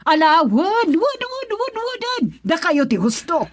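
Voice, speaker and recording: A woman's voice: extremely high pitch, big pitch swings, measured pace, exaggerated voice. A woman with an Ilocano accent playing an aggrieved, distrustful wife in a radio drama. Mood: angry.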